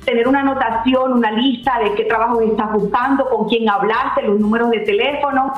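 A woman's voice: on the high side, fairly even intonation, brisk, roomy sound, lo-fi sound, soft background music. A woman speaking as a guest career adviser in a live TV interview, explaining things in an instructive way. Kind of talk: spoken advice in a live TV interview.

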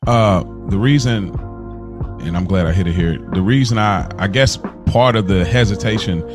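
Low, reflective man with music behind. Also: moderate pace. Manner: reflective, hesitant, soft-spoken. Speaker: podcast co-host. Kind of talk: casual conversation.

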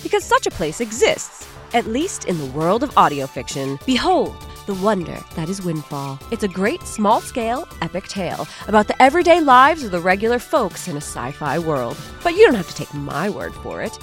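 A woman's voice: mid-range voice, wildly swooping pitch, moderate pace, few pauses. A woman presenting a podcast with a theatrical, quirky, comic introduction. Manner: playful, mock-dramatic, quirky. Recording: music bed behind.

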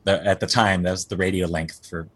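A deep, slightly husky man in his forties chats loosely as a guest. He drifts and restarts, leaning on fillers as he goes. The sound is close and dry, with no room around it. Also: steady tone, a little fast, no echo. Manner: rambling, with many fillers and restarts. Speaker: guest musician, band singer. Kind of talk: casual interview chat.

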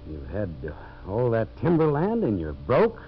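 Hard-headed American lumberman, low voice, swinging intonation, old radio drama, noisy recording. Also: huge pitch swings, unhurried, muffled recording, heavily acted. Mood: evasive, hedging. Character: brusque, evasive male lumberman, hard-headed and scheming. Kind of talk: 1950s radio drama acting.

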